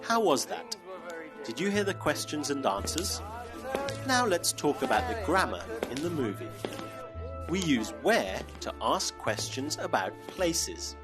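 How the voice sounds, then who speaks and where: Very animated male English teacher. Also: fairly high pitch, music in the background. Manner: slow, clearly modeled phrases for repetition. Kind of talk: scripted lesson narration.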